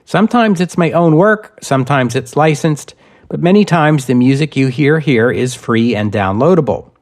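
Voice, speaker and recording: A man narrating scripted history as a podcast host, in measured, deliberate phrasing. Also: a little high, lively intonation.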